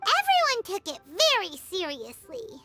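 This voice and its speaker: A woman voicing a small, childlike fairy companion in a video game, squeaky, blunt and excitable. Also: a little slow, over loud music, full character voice. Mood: cheerful. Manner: speaks of self in third person, blunt. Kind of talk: English video game voice acting.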